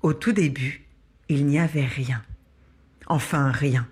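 Breathy woman telling a slow children's tale. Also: a bass voice. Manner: hushed, intimate, addresses the child listener directly. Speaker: storyteller. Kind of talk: children's story performance.

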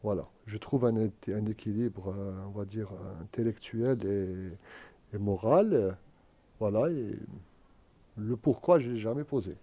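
Very mellow, reflective man. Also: on the low side, slow. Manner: hesitant, reflective. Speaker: interviewed guest. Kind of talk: unscripted answers in a group interview.

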